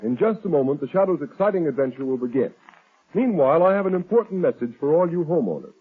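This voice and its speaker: A male 1930s radio announcer with a highish, very animated voice. He declaims intros and sponsor copy emphatically. The recording is tinny, with faint music. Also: fast talker. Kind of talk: 1930s dramatic announcing and ad reading.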